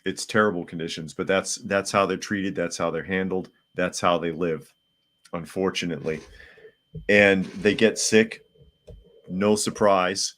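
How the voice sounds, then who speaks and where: Man, low voice, a bit hoarse from a sore throat, casual live podcast host, plain-spoken, reads out viewer comments. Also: fairly even intonation, a little slow, a bit bright. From United States. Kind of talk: casual live-stream chat.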